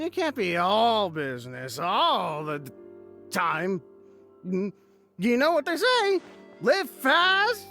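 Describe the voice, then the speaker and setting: A man's voice: very high-pitched, pushed and bright, full character voice. An actor playing a drunk, jovial, teasing man in a video game, rambling in a chummy drawl. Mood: cajoling. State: drunk, acted. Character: tipsy, jovial, teasing male business pal.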